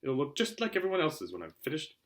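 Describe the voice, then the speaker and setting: A man's voice: on the high side, wildly swooping pitch, quick pace. A man voicing a curt, disdainful middle-aged aunt in a storybook reading. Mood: snappish. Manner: curt, snappy. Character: snappish, tight-lipped middle-aged aunt, impatient and disdainful.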